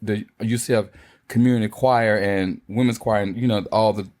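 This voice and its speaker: A man co-hosting a casual podcast, playful and quick to laugh, who directs choirs. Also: fairly low pitch, steady tone, darkish tone. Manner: playful, laughs often, teasing. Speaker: podcast co-host. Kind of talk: casual chat.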